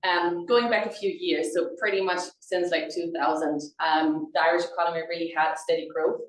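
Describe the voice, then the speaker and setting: A German woman with a low voice presents a webinar in an informal, chatty way. Her German accent comes through a roomy, echoing recording, picked up at a distance from the microphone. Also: fairly even intonation, moderate pace. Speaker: recruitment consultant co-hosting a webinar.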